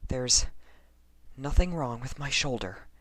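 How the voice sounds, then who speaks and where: A man acts out a nervous young unicorn woman for a horror storybook, lifted well above his natural register. She mutters hesitantly, as if soothing herself, rattled yet trying to stay calm. The sound is dry and close. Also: mid-range voice, takes it slowly, dry recording, pitched above the performer's own voice. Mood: anxious self-reassurance. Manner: hesitant, self-reassuring muttering. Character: anxious young female unicorn musician, rattled but self-soothing.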